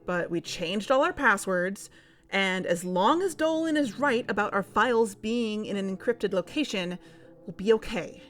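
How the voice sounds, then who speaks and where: A woman's voice: mid-range voice, lively intonation, moderate pace, close to an everyday voice. A woman playing a practical, level-headed podcast co-host in an audio drama, with a matter-of-fact manner. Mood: skeptical, practical. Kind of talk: audio fiction podcast dialogue.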